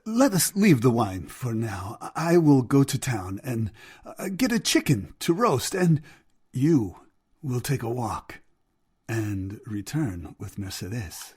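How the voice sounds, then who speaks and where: An actor playing a frail, loving elderly father in an audio drama, proud yet hesitant and tender, with a husky voice. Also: mid-range voice, sing-song, slow pace, darkish tone, exaggerated voice. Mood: fond. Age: older.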